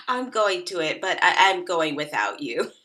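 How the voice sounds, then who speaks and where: A woman reads a blunt thirty-something woman with a deadpan edge. Her voice is bright and clear, delivering each put-down flatly. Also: fairly low pitch, measured pace, heavily acted. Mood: dry, blunt. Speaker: actor playing Megan. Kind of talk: table read of a comedy pilot.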